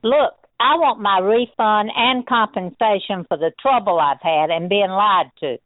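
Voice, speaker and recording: A woman's voice: medium pitch, measured pace, no room sound. A plain-spoken, insistent woman making a customer complaint in a phone conversation. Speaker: customer calling about a refund. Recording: tinny, narrow telephone line.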